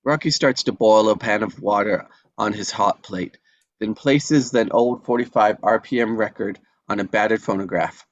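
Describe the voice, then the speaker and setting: Low-voiced man narrating a screenplay. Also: moderate pace, no room sound, lo-fi sound. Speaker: narrator reading stage directions. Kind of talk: scripted narration at a table read.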